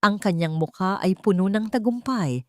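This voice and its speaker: A woman's voice: medium pitch, normal speed, mellow, no echo, clean studio sound. A woman reading a scripted romantic drama story as its narrator, in a dramatic storytelling style.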